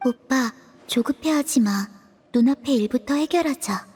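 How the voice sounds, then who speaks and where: A woman voicing a calm, impassive teenage sister in an anime-style game, deadpan and coolly reassuring. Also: sits high, normal speed, breathy edge.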